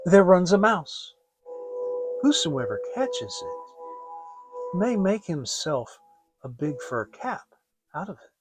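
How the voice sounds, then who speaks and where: A man reading a fairy tale as a storyteller, in a theatrical, expressive scripted style. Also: high-pitched, slow pace, long pauses, husky, faint music behind. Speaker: storyteller, audiobook reader.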